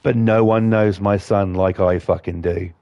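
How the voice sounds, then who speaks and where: A man hosting casual gameplay commentary, blunt and defiant, his voice croaky from a cold. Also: low-pitched, steady tone, unhurried, dry recording, dull audio. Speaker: gaming channel host.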